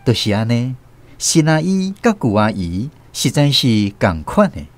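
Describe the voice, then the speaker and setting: An actor with a Taiwanese accent narrating a family tale as the storyteller in a radio drama. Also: male voice, mid-range voice, big pitch swings, unhurried, soft background music.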